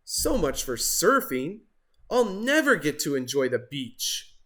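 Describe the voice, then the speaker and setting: A man's voice: a little high, very animated, slow pace, bright, forceful tone, heavily acted. A man voicing an eager, dramatic turkey in a storybook, exclaiming, moaning and gobbling. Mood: dismayed, moaning.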